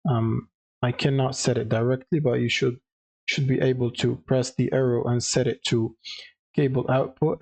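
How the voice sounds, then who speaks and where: A man with a mid-pitched voice walks through a software tutorial. He explains casually, step by step, pausing a little hesitantly as he goes. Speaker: tutorial presenter.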